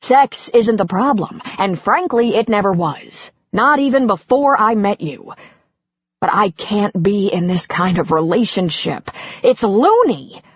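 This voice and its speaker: A woman's voice: medium pitch, animated, a little slow, husky, dry recording, highs cut off. A woman voicing an exasperated middle-aged husband who is walking out, bitter and swearing in heated outbursts. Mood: fed up.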